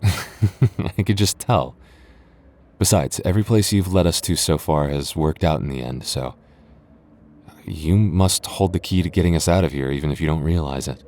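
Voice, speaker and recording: A man playing a deep-voiced, teasing goth boyfriend. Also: normal speed, pauses often. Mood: affectionate. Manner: soft, intimate, playfully teasing. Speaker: voice actor. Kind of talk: boyfriend ASMR roleplay.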